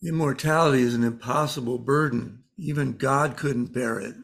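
An actor playing a world-weary spirit, blunt and cryptic, pronouncing grim truths matter-of-factly in a dramatised story. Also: male voice, mid-range voice, normal speed, gravelly. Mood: cryptic, philosophical.

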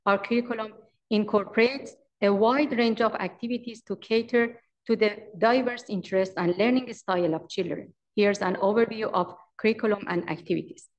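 A woman's voice: mid-range voice, moderate pace, muffled recording. Iranian-accented woman giving a prepared presentation. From Iran. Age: 40s. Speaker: daycare owner and manager presenting. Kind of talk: prepared presentation at public meeting. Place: large council chamber. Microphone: podium microphone.

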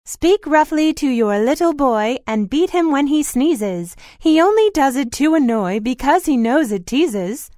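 Ein Mann spielt eine hochmütige, schrille Herzogin. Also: male voice, very high-pitched, lively intonation, unhurried, hardly any pauses, no echo, clean studio sound, pitched above the performer's own voice. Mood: sing-song. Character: haughty, rude noble Duchess, a woman.

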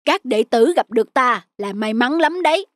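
A woman's voice: a little high, expressive, moderate pace, dry recording, studio quality, natural voice. A woman voicing a boastful fox who poses as a martial arts master, pompous and self-important, in a children's cartoon. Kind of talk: children's cartoon dubbing in Vietnamese.

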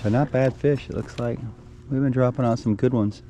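A man's voice: medium pitch, fairly quick, a little hiss or hum. A middle-aged man chatting casually on a fishing boat out on a lake, explaining his rod and reacting to big catches. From American South. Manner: relaxed, chatty, excited over big fish. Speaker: fishing guide and rod maker. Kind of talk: casual outdoor fishing vlog. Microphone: action camera on the boat.

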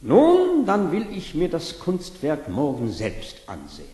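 Casting: a pompous, secretly anxious storybook monarch, sweeping intonation, big reverberant old recording. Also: male voice, on the high side, wildly swooping pitch, unhurried, warm tone. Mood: grand, commanding. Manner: pompous, declamatory. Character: vain pompous emperor, secretly anxious about seeming stupid. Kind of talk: German fairy-tale audio drama acting. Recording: old cassette, reverberant hall, faint noise.

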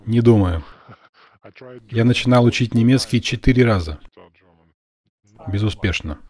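A man from Russia, a blogger, talking in a calm, measured and modest way on a video call. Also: mid-range voice. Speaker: blogger interviewing a guest. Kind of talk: calm Russian voice-over of a translated conversation.

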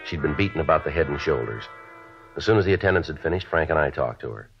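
An American man playing a terse, businesslike police sergeant in a 1950s radio drama, speaking and narrating in a deadpan, matter-of-fact way. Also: rumbling low, quick pace. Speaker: lead actor and narrator. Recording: dull vintage radio recording with music.

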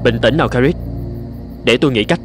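A man's voice: mid-range voice, a little fast, slight background noise, lightly acted. A man playing a determined, resourceful young gold prospector in a cartoon, earnest and reassuring. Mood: firm, calm. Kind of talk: cartoon dub acting. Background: music.

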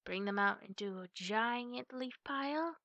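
A woman playing a playful, teasing, affectionate big sister in an ASMR roleplay, chatting to the listener with drawn-out words and hushed asides. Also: a little high, gravelly, no room sound, full character voice. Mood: playful, eager.

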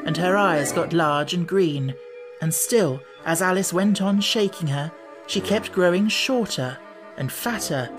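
A woman reading a children's classic as an audiobook narrator, in an expressive storytelling manner. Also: lowish voice.

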